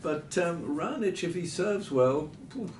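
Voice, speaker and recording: A man giving off-the-cuff tennis analysis, stating emphatic opinions in measured short phrases. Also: lively intonation, darkish tone. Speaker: tennis pundit. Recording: roomy, distant mic with background rumble.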